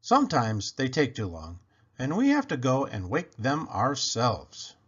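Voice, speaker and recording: A man reading a children's picture book aloud in an expressive, playful way with animated emphasis. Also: mid-range voice, measured pace, slightly rough. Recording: dull, lo-fi sound.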